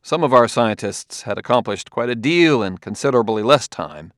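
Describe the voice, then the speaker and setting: A man voicing a patient middle-aged police detective in an audiobook, asking questions quietly and cautiously. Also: mid-range voice, expressive, normal speed, no echo, studio quality, natural voice.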